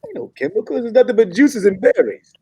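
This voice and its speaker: A man playing a polite, naive young man looking for love in a comedy table read, with a husky voice. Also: extremely high pitch, sing-song, a little fast, dark tone, lo-fi sound, a little hiss or hum.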